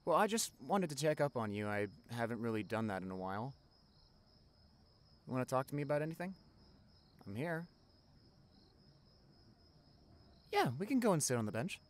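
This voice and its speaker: A man's voice: mid-range voice, sing-song, fairly quick, long pauses, close to an everyday voice. Young, chatty best-friend guy played by a man. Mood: concerned, gentle. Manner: casual, slangy, addresses listener directly. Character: caring, chatty male college best friend, playful then tender. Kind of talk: ASMR roleplay monologue to the listener.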